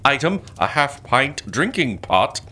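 A man's voice: mid-range voice, very animated, a little slow, pushed and bright, breathy edge, exaggerated voice. A man playing an officious Puritan clerk who formally recites witchcraft charges in a comic audio drama. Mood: solemn, officious. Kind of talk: comedic audio drama acting in archaic English.

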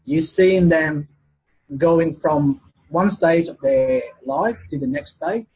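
A man in his forties with a Vietnamese accent, chatting as a guest in a hesitant way full of fillers. His voice is husky and sits a little high. The recording sounds dull and lo-fi. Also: takes it slowly, faint music behind. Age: 40s. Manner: hesitant, lots of fillers and restarts. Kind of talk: casual interview.

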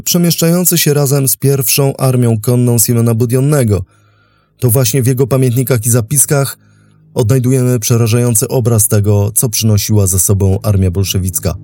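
Mellow male documentary narrator. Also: mid-range voice, no echo. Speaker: narrator and host. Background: faint music.

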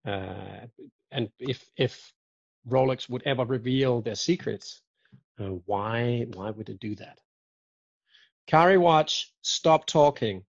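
A man chatting casually as a podcast guest, joking and telling stories in a lively way. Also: mid-range voice, slow pace, gravelly, dry recording. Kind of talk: casual chat. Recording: dull lo-fi audio.

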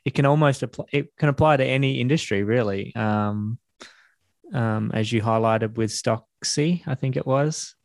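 A man's voice: mid-range voice, normal speed, gravelly, no room sound. A man hosting a casual interview, chatty and enthusiastic, often restarting his sentences. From Australia. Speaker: podcast host.

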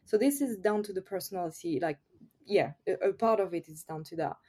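A woman's voice: on the low side, fairly quick, a little mellow, a little hiss or hum. A French woman with a French accent, a yoga teacher chatting openly as a podcast guest, with many "you know"s. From France. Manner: chatty, open, frequent fillers like "you know". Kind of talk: casual podcast interview.

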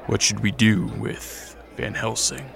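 Radio drama vampire count: weary, protective, unhurried delivery with a gravelly edge, faint noise behind. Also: male voice, lowish voice, fairly even intonation. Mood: quiet, resolute. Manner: measured, halting, understated.

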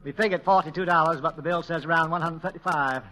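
A mild country handyman in an old radio comedy. The voice is high and sharp, delivered with folksy, deadpan understatement. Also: quick pace. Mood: matter-of-fact. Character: laconic rural handyman, mild, deadpan, slow to fluster. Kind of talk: 1940s radio comedy acting.

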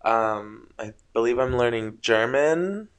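A man's voice: mid-range voice, lively intonation, slow, clean tone. A young man whose first language is Spanish hosts a casual solo podcast late at night, rambling about spiritual ideas and often tripping over his words. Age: young adult. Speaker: podcast host.

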